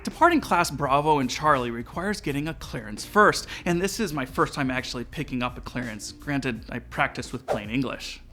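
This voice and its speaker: A man's voice: medium pitch, lively intonation, normal speed, few pauses, pushed and bright. A man, giving a scripted, instructive explainer as a presenter. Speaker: presenter of flight tutorial. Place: small indoor studio set. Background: background music.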